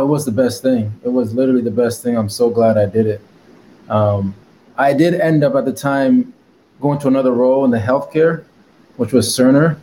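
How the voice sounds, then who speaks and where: A man's voice: mid-range voice, warm tone, a little hiss or hum, rough recording. A man from Connecticut, a guest in an interview, talking casually with lots of fillers. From Connecticut, USA. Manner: rambling with many fillers and laughs.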